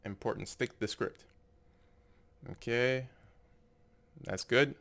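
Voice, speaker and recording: A man's voice: mid-range voice, slow, vocal fry, fairly bright tone. A man doing casual video game commentary, reading on-screen text aloud and musing tentatively as he goes. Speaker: gameplay video narrator. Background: game music.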